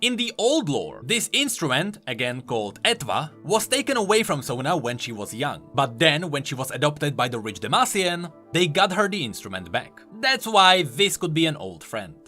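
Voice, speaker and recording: A man's voice: a little high, big pitch swings, normal speed, hardly any pauses, sharp tone, soft background music. A man giving enthusiastic, emphatic explanatory commentary on video game lore.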